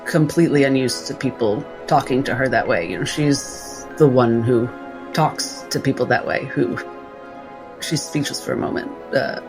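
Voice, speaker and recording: A man improvising narration for his tabletop game character in a deliberate, halting, thoughtful way. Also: mid-range voice, rough recording. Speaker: tabletop role-playing player. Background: soft background music.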